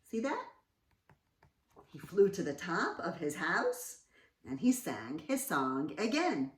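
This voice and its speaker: A woman reading aloud to young children as host, expressive and dramatically emphatic, pausing to ask questions. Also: on the low side. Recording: roomy echo, distant mic.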